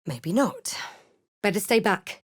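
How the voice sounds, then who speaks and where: A woman voicing a cautious, snarky female spellcasting adventurer in a video game, with husky, dramatic, deliberate delivery. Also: mid-range voice, expressive. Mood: wary. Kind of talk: video game combat barks, incantations and remarks.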